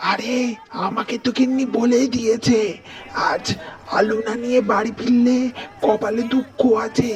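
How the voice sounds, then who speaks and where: A man's voice: very high-pitched, level tone, normal speed, over music. A man voicing a worried, henpecked villager in a cartoon. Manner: fretful. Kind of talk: animated storybook cartoon dialogue.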